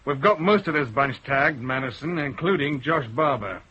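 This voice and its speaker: A calm, firm detective. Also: male voice, mid-range voice, normal speed, slightly rough, exaggerated voice. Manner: level, firm. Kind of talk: 1970s radio drama acting. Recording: muffled old radio broadcast.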